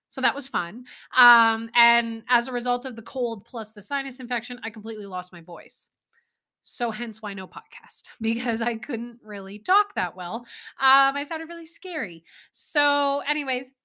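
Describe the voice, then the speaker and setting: A woman's voice: medium pitch, sharp tone. A woman in her thirties hosting her own podcast, chatting casually and rambling with exasperated asides. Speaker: podcast host. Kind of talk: casual solo podcast chat.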